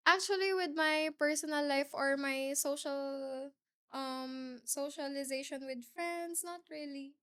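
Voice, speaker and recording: A nineteen-year-old Filipino woman with a Filipino accent, a pageant winner answering interview questions in a measured, careful way with small fillers. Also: high voice, steady tone, fairly bright tone, dry recording, studio quality. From Philippines. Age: teens.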